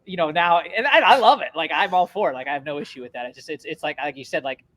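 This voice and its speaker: Quick, upbeat man with a higher voice. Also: animated, a bit bright. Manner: filler-heavy, enthusiastic. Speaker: co-host. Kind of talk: casual interview chat.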